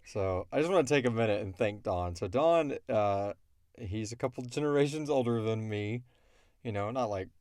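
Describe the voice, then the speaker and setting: A man's voice: fairly low pitch, lively intonation, moderate pace, darkish tone, no room sound, studio quality. A man hosting a podcast in casual conversation, explaining things in a rambling way as he answers listener questions.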